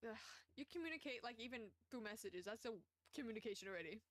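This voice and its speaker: A woman's voice: on the high side, animated, fast, dry recording. A young adult woman streamer chatting casually while playing a visual novel, rambling with many fillers, self-conscious, laughing now and then. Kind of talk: casual let's-play commentary.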